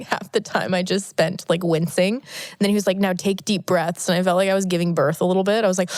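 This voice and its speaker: Woman, podcast co-host, casual chat, slightly sniffly, animated storyteller, dry close sound. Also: medium pitch, no room sound. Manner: chatty, animated storytelling with lots of "like". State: sniffly from allergies. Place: small podcast booth in a new office. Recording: dry, sound-dampened booth.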